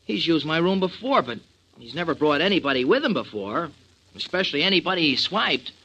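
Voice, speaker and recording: An actor playing an eager, flustered, streetwise sidekick in a 1940s radio drama, pleading earnestly in slangy talk. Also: male voice, on the high side, sing-song, a little fast, pushed and bright, breathy edge, lo-fi sound, full character voice. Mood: pleading, defensive.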